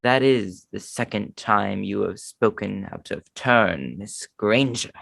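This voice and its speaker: A man voicing a stern, disdainful male teacher, slow and clipped. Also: fairly low pitch, vocal fry, a bit bright, no room sound, studio quality, exaggerated voice.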